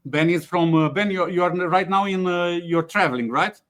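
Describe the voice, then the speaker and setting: A man hosting a webinar, speaking haltingly with frequent fillers and restarts. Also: high voice, fairly bright tone. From Romania. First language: Romanian. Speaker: webinar host, company CEO. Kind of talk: hesitant webinar presentation with many fillers.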